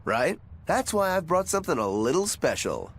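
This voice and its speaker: A man's voice: fairly high pitch, sing-song, normal speed, a little hiss or hum. A man voicing a breezy, roguish, encouraging young joker in a dubbed video game, with a casual, cajoling manner. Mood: playful. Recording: faint music behind the voice.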